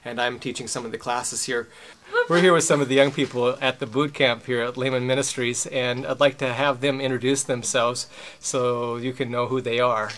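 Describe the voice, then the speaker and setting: A man's voice: medium pitch, steady tone, normal speed, a bit bright, a little hiss or hum. Middle-aged American man explaining things informally. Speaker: host and boot camp teacher. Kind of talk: informal on-camera introduction.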